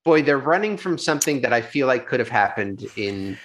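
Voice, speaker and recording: A man's voice: medium pitch, expressive, brisk, fairly bright tone. A young adult man, an actor, chatting casually in an interview, laughing easily and restarting his sentences. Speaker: actor and filmmaker guest.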